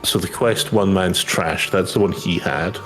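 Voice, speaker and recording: Low, unhurried man explaining a game. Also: soft background music. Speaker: co-player explaining quest requirements. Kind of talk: casual explanation, reading from a game guide.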